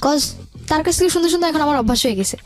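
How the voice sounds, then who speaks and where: A woman's voice: sits high, expressive, very fast. A young woman with a Bangladeshi accent tells a personal story conversationally as a guest, colloquially and with some English words mixed in. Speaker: guest telling life story. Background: background music.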